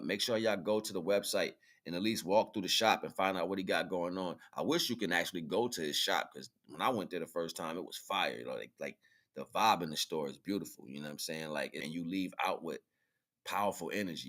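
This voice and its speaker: A man's voice: low voice, a bit bright. A man hosting a livestream in casual, slangy talk, often checking in with the listener using tag questions. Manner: informal slangy speech with frequent tag questions. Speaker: livestream host.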